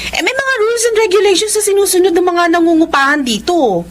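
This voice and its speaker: Stuck-up filipina cousin, radio drama, really high swoopy voice, condescending. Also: female voice, expressive, quick pace. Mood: dismissive. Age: young adult. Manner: curt, condescending. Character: haughty young city cousin, unwelcoming.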